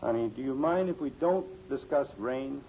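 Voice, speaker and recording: A man's voice: medium pitch, moderate pace, mellow, close to an everyday voice. An American man acting a self-assured, easily exasperated middle-aged father in a 1950s radio sitcom. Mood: testy. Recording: dull, noisy old radio recording.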